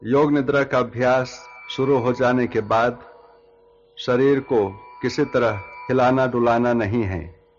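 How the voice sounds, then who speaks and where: A man leading a guided yoga nidra relaxation as instructor, giving measured instructions in short phrases with long pauses. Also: mid-range voice, fairly even intonation, lo-fi sound. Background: background music.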